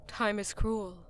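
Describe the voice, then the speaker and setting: Proud immortal goddess, wistful, medium pitch, fantasy audio drama, light background noise. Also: female voice.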